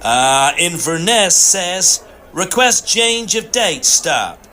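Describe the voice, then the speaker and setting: A man acts out a fussy, formal assistant in a Victorian comedy audio play. The voice is high and bright, rising and falling a lot as he speaks with officious precision. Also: very animated, slow pace, slight background noise, exaggerated voice. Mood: fussy, anxious. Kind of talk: period comedy audio drama acting.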